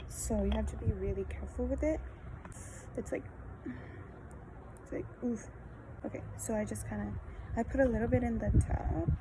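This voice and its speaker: A young adult woman whose first language is Spanish, chatting casually and rambling with lots of fillers while she does a makeup tutorial outdoors in a backyard. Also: fairly high pitch. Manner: casual, rambling, self-deprecating, frequent fillers. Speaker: beauty vlogger. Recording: outdoor wind and ambient noise.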